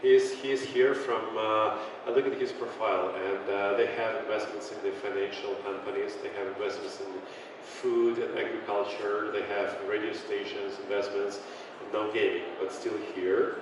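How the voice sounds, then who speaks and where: A man moderating a conference panel, talking haltingly and searching for his words. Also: lowish voice, fairly even intonation. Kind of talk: panel discussion. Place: conference hall. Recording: reverberant hall with faint noise.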